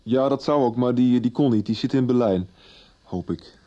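An actor playing a chatty young singer recording in a studio. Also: male voice, mid-range voice, moderate pace, warm tone, lightly acted. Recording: lo-fi studio scene with music.

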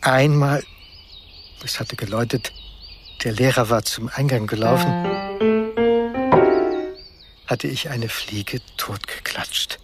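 An actor playing a sensitive, withdrawn young man, narrating his memories in a reflective, measured way in a literary radio drama. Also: medium pitch, loud music behind the voice, lightly acted. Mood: reflective, subdued. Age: young adult. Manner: reflective, measured, hushed at times.

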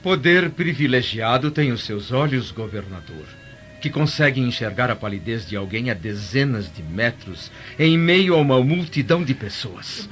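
A man's voice: medium pitch, animated, normal speed, fairly bright tone, faint music behind, natural voice. An actor playing a stern, anxious Roman senator in a radio drama, formal and reproachful in his speech. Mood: cool, sarcastic. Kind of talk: theatrical radio drama acting. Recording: muffled old radio drama recording.

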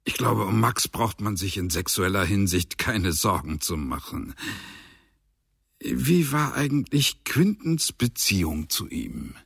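An actor playing a smug, knowing observer in a radio drama, commenting with dry, unhurried detachment. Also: male voice, fairly low pitch, lively intonation, vocal fry, a bit bright, slightly rough, dry recording, full character voice.

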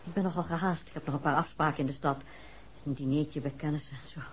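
A woman's voice: deep voice, steady tone, quick pace, natural voice. Casting: female actor for well-mannered, busy society woman in old radio play, faint hiss. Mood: polite, hurried. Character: polite, hurried society lady reporting information. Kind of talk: 1960s Dutch radio drama acting. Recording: dull, faintly noisy old radio recording.

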